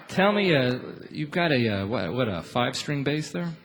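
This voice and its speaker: A man's voice: on the high side, very animated, a little slow. A man hosting a live radio show on a theater stage, interviewing musicians in a chuckling, repetitive way. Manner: chuckles as he talks, repeats phrases. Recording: live theater, audience noise behind. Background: audience noise.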